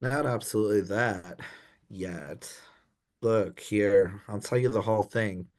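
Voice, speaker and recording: An actor playing an anxious, dim young gentleman in a comic period radio play, dithering and apologetic in posh old-fashioned slang. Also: fairly low pitch, a little slow, natural voice. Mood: embarrassed confession. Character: anxious, dim young upper-class Edwardian gentleman in trouble.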